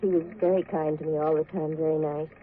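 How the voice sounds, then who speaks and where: An actress playing a weary young officer's wife in a 1940s radio drama, subdued, hesitant and earnest. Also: female voice, sits low, fairly even intonation, quick pace, lightly acted. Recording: tinny, noisy vintage radio recording.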